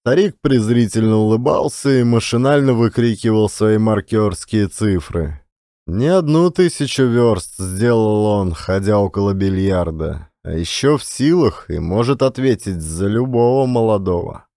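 A man narrating classic literary fiction as an expressive audiobook storyteller. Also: fairly low pitch, measured pace, no echo, clean studio sound.

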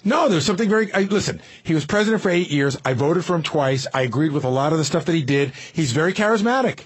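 A man with a husky, somewhat high voice hosts a radio show. He chats casually and rambles through anecdotes, swearing freely. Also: expressive, quick pace. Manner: rambling, profane. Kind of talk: casual studio banter, telling anecdotes.

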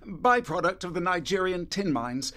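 An actor playing a fussy, eager British secret service quartermaster in a radio drama, clipped and officious. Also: male voice, high voice, very animated, normal speed, a bit bright.

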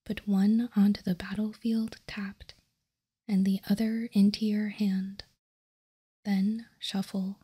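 Slow, breathy whispering woman. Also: mid-range voice, level tone. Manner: unhurried, soft, measured. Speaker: host of a card-game ASMR show. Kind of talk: whispered ASMR, reading and commenting on cards.

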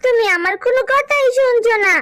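Woman as a very high-pitched, whiny little cartoon girl. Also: fairly quick, fairly bright tone. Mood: sulky, whiny. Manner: whiny, insistent, childlike. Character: sulky, pleading little girl, a cartoon animal child. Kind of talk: Bengali children's cartoon voice acting.

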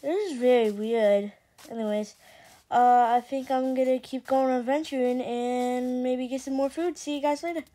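A woman's voice: high voice, steady tone, normal speed, mellow, a little hiss or hum. A woman giving casual let's play commentary, rambling and thinking aloud to her viewers. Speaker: gaming video host. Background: background music.

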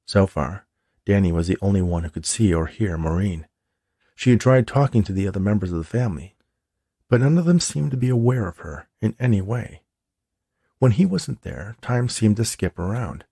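Gravelly, low-voiced male storyteller. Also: expressive, moderate pace, dark tone, dry recording, clean studio sound. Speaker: author reading own book. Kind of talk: scripted story narration.

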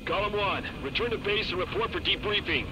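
An actor playing a brisk, businesslike headquarters controller giving orders over military radio in a flight game. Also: male voice, high-pitched. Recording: noisy military radio with aircraft sound.

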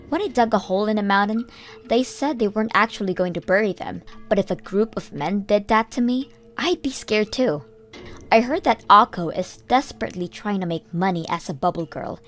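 A woman's voice: mid-range voice, lively intonation, a little fast, soft background music. A woman giving an earnest, scripted first-person narration of a story.

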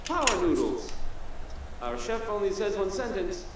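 Timid radio DJ, echoey and distant. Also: male voice, sits high, very animated, unhurried, a little hiss or hum. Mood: nervous. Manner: hesitant, stammering, unsure. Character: timid, awkward young male radio DJ. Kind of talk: radio announcing in a video game.

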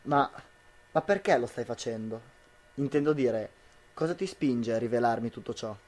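A man's voice: mid-range voice, animated, moderate pace, a little mellow, lightly acted. A young man playing a troubled, disbelieving husband in an amateur radio drama. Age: young adult.

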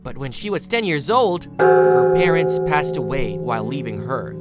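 A woman's voice: a bass voice, animated, moderate pace. A woman reading scripted story narration. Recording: music behind the voice. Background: plucked guitar music.

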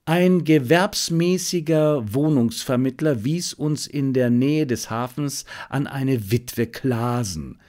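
A man reads a detective story as an audiobook. His pace is slow and his phrasing measured and deliberate. The voice sits at an average male pitch. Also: a little mellow. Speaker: audiobook narrator. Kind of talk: scripted narration of a detective story.

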